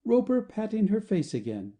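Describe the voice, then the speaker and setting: A man's voice: high-pitched, sing-song, normal speed, noisy background. A man narrating an audio play, reading out scripted stage directions.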